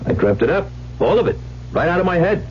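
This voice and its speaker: A man's voice: high voice, very animated, a little fast, a little hiss or hum. An actor playing a cynical, slangy, money-hungry gambler poet who tells his own story in an old-time radio drama. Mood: defensive, cocky. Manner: wisecracking, slangy, hard-boiled. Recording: muffled old radio recording.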